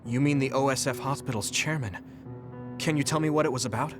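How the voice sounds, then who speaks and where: A man's voice: medium pitch, fairly quick, over music, lightly acted. An actor playing an earnest, supportive and self-assured teenage boy soldier in a video game. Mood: encouraging. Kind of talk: English video game voice acting.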